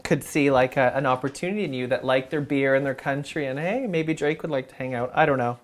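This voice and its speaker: A man's voice: medium pitch, a little fast. Playful, teasing male interviewer. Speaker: interview host. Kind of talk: casual interview chat.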